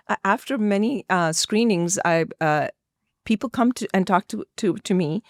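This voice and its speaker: A woman's voice: fairly low pitch, no room sound, clean studio sound. Middle-aged woman with an Indian accent. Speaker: podcast guest, actress and filmmaker. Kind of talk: conversational interview answers, personal anecdotes.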